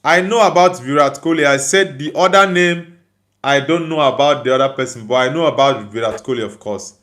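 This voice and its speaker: A man's voice: mid-range voice, fairly quick, fairly bright tone. A man with a Nigerian accent hosting a casual football livestream, chatting and responding to viewers. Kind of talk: casual live chat, reading and answering viewer comments.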